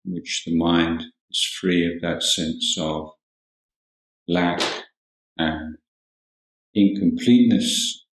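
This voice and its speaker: Very slow, low-voiced man guiding meditation. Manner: calm, measured, long pauses between short phrases. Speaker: Buddhist monk teaching meditation. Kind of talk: guided meditation talk. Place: indoor room at a monastery. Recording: roomy, echoing hall sound.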